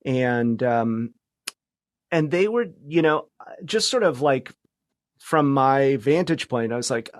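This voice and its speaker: A man's voice: mid-range voice, expressive, takes it slowly, long pauses, no room sound, clean studio sound. A middle-aged American man chatting casually as a podcast guest, reflective and loosely rambling. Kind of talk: casual conversational interview chat.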